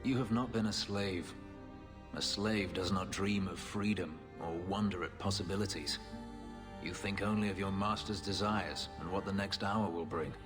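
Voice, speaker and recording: A very low, grave man's voice acted as a bitter elven fugitive in a fantasy game. He speaks in solemn, measured phrases with a guarded weight. Long reverb trails the voice and music plays clearly behind it. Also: natural voice. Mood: somber, guarded. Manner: measured, solemn, halting confession. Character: brooding male elf fugitive, a former slave, bitter and guarded. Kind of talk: dramatic video game acting.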